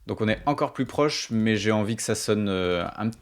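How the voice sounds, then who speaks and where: A man's voice: lowish voice, lively intonation, moderate pace, clear, resonant tone. A man hosting a music production tutorial, explaining things step by step in an informal, colloquial way.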